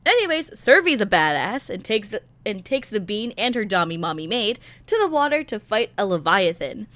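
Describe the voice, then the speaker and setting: A woman's voice: medium pitch, sing-song, moderate pace, fairly bright tone, no echo. A woman giving a casual, slangy recap commentary as a content creator, with sarcastic, exasperated asides and theatrical emphasis. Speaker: content creator reviewing a visual novel.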